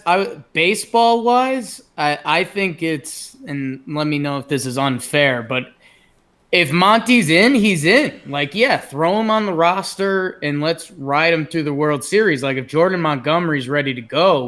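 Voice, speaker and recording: Bright, higher-voiced man analysing sports casually. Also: a little slow. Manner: informal, thinking out loud. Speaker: sports podcast co-host.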